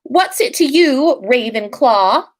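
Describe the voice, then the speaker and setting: Female reader as haughty schoolgirl witch in a story, imperious and curt, widely varied pitch. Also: lively intonation, takes it slowly, heavily acted. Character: snobbish, imperious teenage pureblood witch girl.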